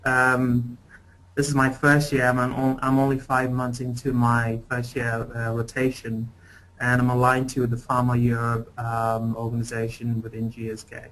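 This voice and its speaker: A young man introduces himself on a webinar in a measured, slightly hesitant way. His voice stays flat and even in pitch, with a faint hiss in the background. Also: rough recording. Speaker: MBA programme participant.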